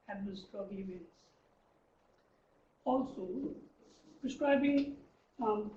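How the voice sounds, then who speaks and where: A man's voice: sits high, dark tone, slight background noise. Hesitant man from Nepal giving a lecture. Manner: hesitant, frequent um and uh fillers. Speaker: research lecturer. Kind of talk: academic presentation. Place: lecture room. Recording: distant, dull, roomy lecture recording.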